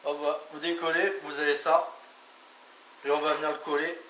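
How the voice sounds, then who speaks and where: A man's voice: fairly high pitch, level tone, normal speed, a bit bright. A man giving a casual hands-on product tutorial, informally talking through each step with little interjections. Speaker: motorcycle vlogger presenting gear. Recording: echoey, distant mic, clearly noisy background.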